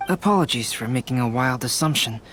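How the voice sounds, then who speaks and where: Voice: medium pitch, lively intonation, brisk, fairly bright tone, slight background noise, natural voice. A polite, thoughtful character in a game cutscene, a little nervous.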